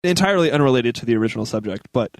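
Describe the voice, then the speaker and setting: A man's voice: mid-range voice, animated, very fast, dry recording, clean studio sound. A man on a talk show crew, chatting casually and explaining things in a hesitant, good-natured way. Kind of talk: casual chat.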